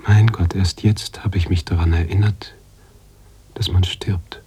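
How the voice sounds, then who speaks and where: An actor playing an anguished, philosophical writer-narrator in a literary radio drama, halting and searching, slipping at times toward a whisper. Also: male voice, sits low, fairly even intonation, dark tone, husky, exaggerated voice. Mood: grieving, resigned. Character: brooding male writer-narrator, anguished, philosophical, mourning his heroine.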